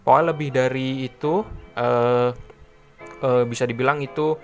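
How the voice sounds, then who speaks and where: A man talks in a mid-pitched voice over faint music. He explains a product off the cuff like an online seller, informal and rambling, often circling back to repeat his point. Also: fairly even intonation, normal speed. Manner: informal, rambling, repeats himself with filler tags. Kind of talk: casual unscripted product explainer. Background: background music.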